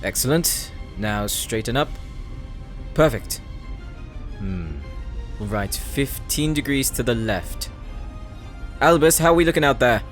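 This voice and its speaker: A man's voice: mid-range voice, animated, a little slow, pauses often, lightly acted. Guy playing a snooty nerdy paladin, formal n precise, condescending, audio roleplay w music behind. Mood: focused, directing. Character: proud, bookish male inventor-paladin, haughty elder brother. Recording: voice over background music.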